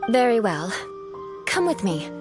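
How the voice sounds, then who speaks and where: Haughty young aristocrat lady, video game, formal and instructive, mid-pitched, expressive intonation, soft music behind. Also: unhurried. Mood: poised, instructive. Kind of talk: video game character acting.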